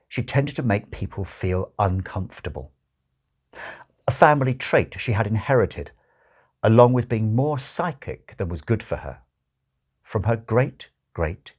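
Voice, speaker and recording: Guy reading a funny novel out loud, really animated pitch, wry storyteller delivery. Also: measured pace, pauses often, breathy edge. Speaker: audiobook reader. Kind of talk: dramatic scripted narration of a comic novel.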